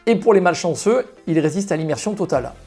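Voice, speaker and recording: A man's voice: sits high, animated, fast talker, a little mellow, faint music behind. A man giving an explanatory, semi-scripted product presentation straight to viewers as a shop presenter. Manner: explanatory, addressing viewers directly.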